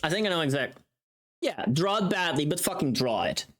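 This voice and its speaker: A livestream host with a mid-pitched voice and very animated, swinging intonation. The talk is casual and profane, like someone thinking aloud while working on screen. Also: a little fast.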